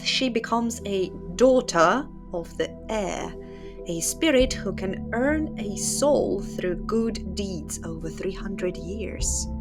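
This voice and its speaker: A woman hosting a channel, telling and commenting on stories in a casual, opinionated, sardonic and conversational way. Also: on the low side. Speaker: host of a book channel. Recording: music playing behind the voice. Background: instrumental music.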